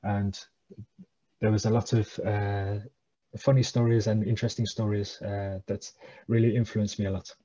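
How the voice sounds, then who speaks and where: A man's voice: on the low side, level tone, normal speed, warm tone, lo-fi sound. A man, a guest talking hesitantly over a headset on a video call from a small room. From England. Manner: hesitant, many uh fillers, thoughtful. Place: small room at home, indoors.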